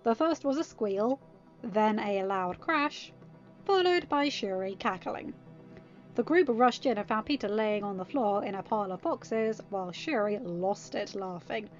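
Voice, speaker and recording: A woman giving an expressive scripted narration of a story, as a podfic reader. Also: mid-range voice, a little slow. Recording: slightly dull recording. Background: music.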